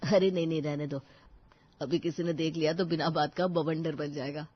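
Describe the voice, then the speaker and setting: A woman's voice: low-pitched, steady tone, normal speed, highs cut off. A woman voicing a cautious, teasing young bridegroom in casual dialogue for a dramatized family story.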